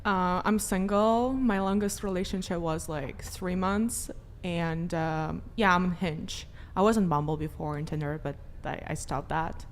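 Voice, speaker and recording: A woman's voice: fairly low pitch, steady tone, measured pace. Young woman from Ukraine, noisy recording. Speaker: podcast guest, student. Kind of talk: casual chat. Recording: clear background noise.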